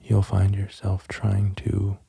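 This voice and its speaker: A man speaking in a soft, breathy near-whisper in an ASMR style, gentle and unhurried with long pauses, close to the microphone. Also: sits low, monotone, dry recording. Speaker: host of an ASMR channel. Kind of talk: soft-spoken ASMR, musing and poem reading.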